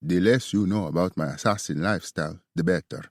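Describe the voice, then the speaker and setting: A man voicing a remorseful, protective young male assassin with an Italian accent, speaking low and earnestly. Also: a little fast, no room sound, clean studio sound.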